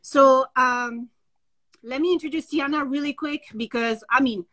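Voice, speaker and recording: A woman, hosting a live video-call interview, enthusiastic and halting with many fillers. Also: high-pitched, a bit bright. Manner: enthusiastic, hesitant, many uhs. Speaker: host. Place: home office indoors. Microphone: laptop on a video call.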